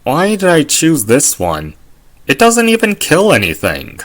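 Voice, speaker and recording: A man's voice: on the high side, huge pitch swings, unhurried, fairly bright tone, heavily acted. A man playing an irritable, impatient character in a fan audio drama, blunt and exasperated.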